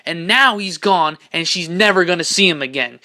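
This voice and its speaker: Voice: medium pitch, normal speed, pushed and bright, natural voice. An amateur actor playing a loyal, protective young man in an audio drama, earnest and intense. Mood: angry, confronting. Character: loyal, protective, angry young male best friend.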